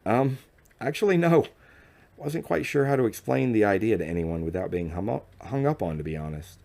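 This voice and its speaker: A man's voice: fairly low pitch, lively intonation, a little fast, creaky, a little mellow, voice pushed up. Audiobook role: congenial female interviewer played by a man, voice lifted, coaxing and conversational. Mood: sheepish, congenial.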